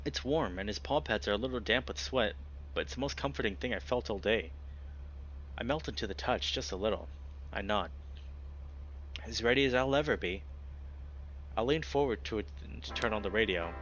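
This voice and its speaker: A man reading a visual novel's story text aloud in expressive narration, occasionally stumbling over words. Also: lowish voice, a little fast, muffled recording, a little hiss or hum. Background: music.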